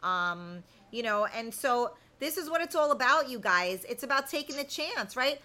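A woman's voice: high voice, animated, moderate pace, pushed and bright. A woman in her fifties hosting a casual live chat, talking to viewers in a candid, blunt, colloquial way. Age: 50s. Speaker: host of a live chat show.

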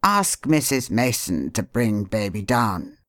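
A woman voicing a troubled middle-aged father who speaks low and heavily. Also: very animated, slow, fairly bright tone, no room sound, clean studio sound, exaggerated voice. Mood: brooding. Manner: low, heavy, brooding.

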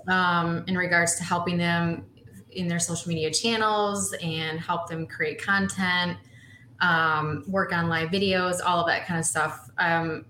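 A woman's voice: lowish voice, pushed and bright, slight background noise. A woman from Michigan, a coach and guest on a podcast, speaking in an earnest, motivational way. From Michigan, USA. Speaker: guest, social media and women's coach. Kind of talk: podcast interview. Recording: roomy echo.

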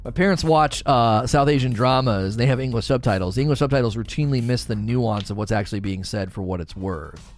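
A man's voice: medium pitch, brisk, few pauses, faint music behind. A man hosting a casual livestream talk show, chatting and answering viewers with rambling enthusiasm and frequent repeats. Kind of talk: casual livestream chat reading viewer comments.

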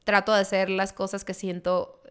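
A woman's voice: medium pitch, flat delivery, normal speed, a bit bright, clear, resonant tone. A young woman with a northern Mexican accent, co-hosting a podcast in casual chat and slipping English phrases into her talk. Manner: slangy, mixes in English phrases. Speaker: podcast co-host.

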